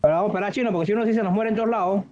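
A man's voice: high voice, very fast, darkish tone. A man bantering casually in slangy, foul-mouthed style while gaming. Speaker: online gamer. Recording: dull lo-fi voice chat, music behind.

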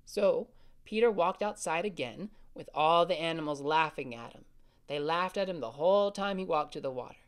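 An actor playing a caring older brother, gently soothing his little sister and telling her a bedtime story in a family audio drama. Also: fairly high pitch, normal speed, clear, resonant tone, natural voice.

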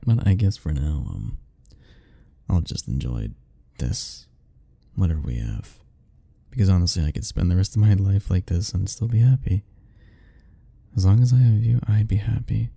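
A young man softly speaking a tender, hesitant ASMR roleplay, musing aloud. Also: sits low, normal speed, gravelly, breathy edge. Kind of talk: soft-spoken ASMR roleplay.

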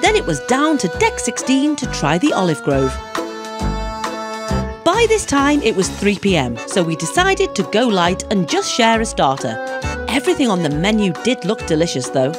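Wry woman narrating, very lively. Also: medium pitch, moderate pace, over loud music. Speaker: vlog narrator. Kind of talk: voice-over narration.

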